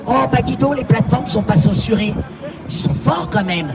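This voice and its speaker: A woman's voice: on the high side, animated, normal speed, very noisy. A woman at an outdoor protest, insistently demanding an answer and lecturing. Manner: insistent, hectoring, repeats demands. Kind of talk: heated street argument. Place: city street, outdoors. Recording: distant voice, loud crowd and music.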